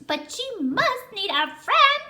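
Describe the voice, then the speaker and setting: A woman's voice: very high voice, big pitch swings, takes it slowly, sharp tone, pitched above the performer's own voice, exaggerated voice. A woman voicing a chatty cheerful storybook dog. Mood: bubbly. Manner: bubbly, talkative, eager. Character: cheerful chatty female shaggy red dog. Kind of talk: storybook reading.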